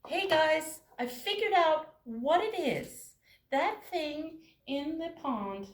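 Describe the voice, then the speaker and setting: Woman voicing a timid little mouse, soft, high-pitched, storybook. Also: animated, a little slow, echoey room, a little hiss or hum. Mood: earnest.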